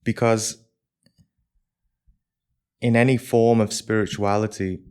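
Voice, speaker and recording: A man leading a live guided meditation for a group as its teacher, speaking in measured short phrases with pauses between them. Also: lowish voice, flat delivery, darkish tone, smooth.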